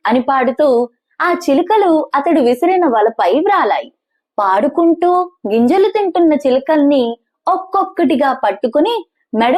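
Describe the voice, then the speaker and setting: A woman hosting and narrating children's stories in an animated, expressive way, speaking directly to her listeners. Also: high voice. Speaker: host and storyteller of a children's story channel.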